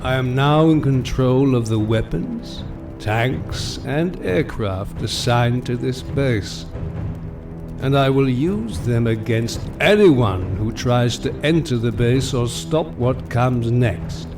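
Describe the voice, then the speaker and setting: An actor playing a commanding, menacing mutant supervillain giving a grandiose, deliberate speech in an audio drama. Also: male voice, mid-range voice, animated, music in the background. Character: commanding mutant supervillain declaring his supremacy to the world. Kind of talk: audio drama villain monologue.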